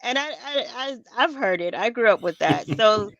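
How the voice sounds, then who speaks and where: A woman's voice: on the high side, lively intonation, normal speed, fairly bright tone, no echo, highs cut off. A woman raised in America by Trinidadian parents, chatting casually on a podcast, laughing often and restarting her sentences. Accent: American, with Trinidadian lilt at times. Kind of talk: casual conversation.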